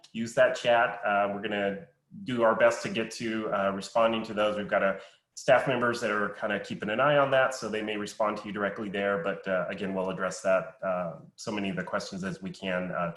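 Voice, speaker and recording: Male webinar host, low-pitched, easygoing, filler-heavy, echoey room. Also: steady tone, a little fast, a bit bright. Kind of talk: casual webinar hosting.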